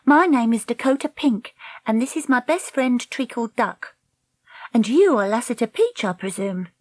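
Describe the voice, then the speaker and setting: A woman's voice: fairly high pitch, animated, moderate pace, no room sound, voice pushed up. A woman reader voicing a bold, proud young girl in a storybook, speaking assertively and challengingly.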